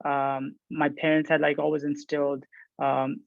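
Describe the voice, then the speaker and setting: A man's voice: a little high, completely flat delivery, a little slow, creaky, no room sound, dull audio. A man, answering panel questions thoughtfully with many fillers. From Pakistan. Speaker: panel guest, resident surgeon.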